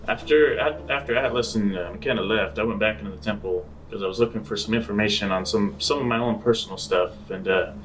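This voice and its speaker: A man playing a sheepish space adventurer in improvised tabletop roleplay, speaking haltingly and repeating himself. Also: fairly low pitch, fairly bright tone, far from the mic, slight background noise, lightly acted, low-quality recording. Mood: explaining. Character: sheepish male space adventurer reporting back.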